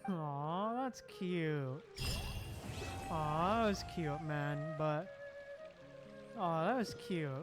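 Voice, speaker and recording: Slow, low-voiced woman streaming a game. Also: lively intonation. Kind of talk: casual live gaming commentary. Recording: game music clearly behind.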